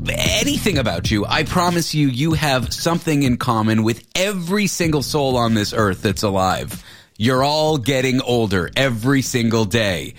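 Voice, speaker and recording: A man in his late thirties hosting a talk radio show with casual, joking, self-deprecating chat, speaking close to a studio microphone. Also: medium pitch. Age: late 30s.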